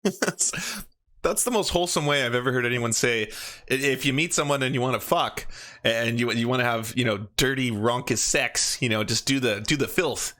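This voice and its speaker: Bright-voiced Canadian man mocking the news. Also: medium pitch, moderate pace. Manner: mocking, puts on silly voices when quoting. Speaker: comedy podcast host. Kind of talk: comedic political commentary, reading aloud.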